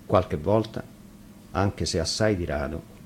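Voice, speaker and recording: A man's voice: deep voice, takes it slowly. A man reads a fairy tale aloud as a storyteller, in scripted narration. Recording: faint noise, live radio broadcast.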